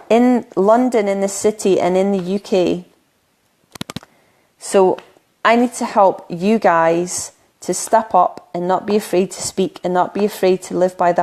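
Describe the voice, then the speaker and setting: Earnest woman coach, slightly croaky. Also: lowish voice, darkish tone. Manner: earnest, rambling, many "um"s and restarts. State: croaky from talking a lot. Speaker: spiritual coach. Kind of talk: solo live stream talk.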